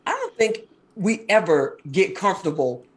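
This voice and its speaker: Woman guest, 50s, from Maryland: deep husky voice, quick animated storytelling, casual interview tone. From Maryland, USA. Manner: fast-talking, animated storyteller.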